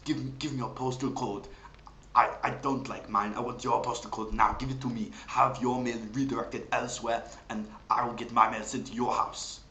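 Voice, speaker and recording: A man's voice: medium pitch, fairly even intonation, normal speed, sharp tone, roomy sound, a little hiss or hum, voice pushed up, natural voice, low-quality recording. A man voicing a scary German tough guy who barks demands in a German accent. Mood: aggressive, demanding. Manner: barked imperatives.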